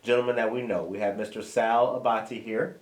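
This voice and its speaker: A man hosting a morning podcast, reading community announcements and interviewing a guest in a lively, friendly way. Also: on the low side, brisk. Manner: lively, friendly, self-correcting with a laugh. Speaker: podcast host.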